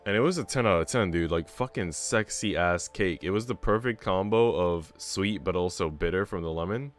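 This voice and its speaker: Man, low voice, casual livestream chat, profane bro-ish slang, faint music behind. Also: normal speed. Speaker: streamer.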